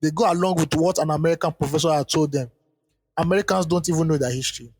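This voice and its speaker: A man's voice: fairly high pitch, steady tone, quick pace, a little mellow, breathy edge, long reverb tail. A man co-hosting a podcast in a casual chat, reading a long listener letter aloud. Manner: easygoing, trails off with fillers. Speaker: podcast co-host.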